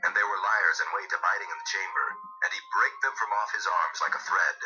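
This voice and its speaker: A man narrating dramatized scripture in a solemn, measured storytelling manner. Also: sits low. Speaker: Bible narrator. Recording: dull lo-fi audio with music.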